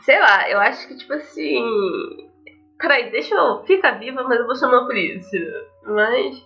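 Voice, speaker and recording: A woman's voice: on the high side, lively intonation, moderate pace, creaky, sharp tone. A young woman with a Brazilian accent casually commenting on a visual novel as she plays. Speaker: gaming video creator. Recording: dull lo-fi mic, music behind.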